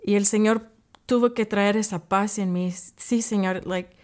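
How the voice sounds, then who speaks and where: Slow-paced woman, non-native speaker, devotional talk. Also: medium pitch, steady tone, a little mellow. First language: English. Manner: earnest, halting and searching for words, repeating key phrases for emphasis. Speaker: podcast host.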